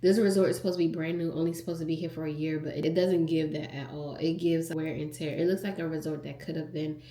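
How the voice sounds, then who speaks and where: A woman's voice: deep voice, level tone, quick pace, mellow, echoey room. A young woman with a stuffed-up, nasal voice, chatting candidly to her phone camera in a travel vlog. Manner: candid, chatty, addresses viewers as y'all. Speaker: travel vlogger. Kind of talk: casual vlog chat to camera. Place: resort on vacation.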